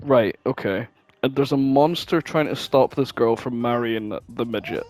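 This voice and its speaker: A man's voice: medium pitch, moderate pace, darkish tone. A man, probably in his twenties, chatting casually and informally with plenty of swearing as a podcast co-host. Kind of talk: casual chat.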